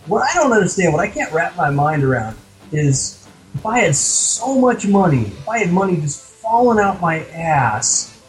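High-pitched man, wry exasperated podcast storyteller. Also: rough recording. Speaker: podcast co-host. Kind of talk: casual comic anecdote and banter.

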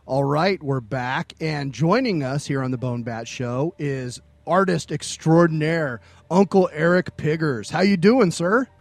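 A man's voice: fairly high pitch, measured pace, vocal fry, few pauses, dry recording. A man in his forties hosting a podcast, friendly and enthusiastic in casual chat. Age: 40s. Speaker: podcast host.

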